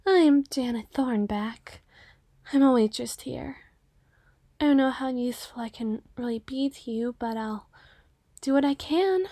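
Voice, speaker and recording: A reserved young cyclops waitress played by a female performer in a roleplay audio. Her voice is high and recorded dry, and she speaks hesitantly, rambling earnestly and modestly. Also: unhurried, warm tone, no room sound. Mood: shy, apologetic. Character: shy, self-effacing twenty-five-year-old cyclops waitress. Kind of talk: ASMR roleplay acting.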